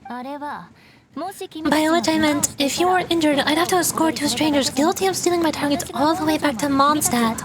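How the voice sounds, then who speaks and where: A woman's voice: high-pitched, normal speed, a crowd in the background, close to an everyday voice. A woman voicing a haughty, vengeful young noblewoman knight from a fantasy game, formal and indignant, over a noisy background.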